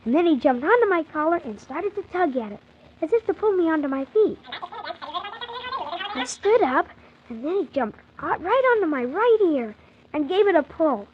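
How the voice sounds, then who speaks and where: A performer playing Tim, a polite young boy telling his story with earnest, animated wonder on 1940s children's radio. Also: high voice, normal speed. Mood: amused, excited. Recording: muffled, hissy 1940s radio transcription.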